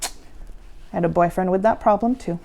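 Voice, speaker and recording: A woman's voice: on the low side, a little fast, creaky. A woman storyteller reading a spooky children's book as a theatrical hostess, dramatic and playful. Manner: dramatic, playful, hushed for suspense.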